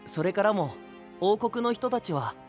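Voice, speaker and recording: A man voicing a bright, friendly, impulsive young knight in an anime-style voice drama, with a boyish, casual delivery. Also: high voice, expressive, measured pace. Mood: storytelling, earnest. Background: background music.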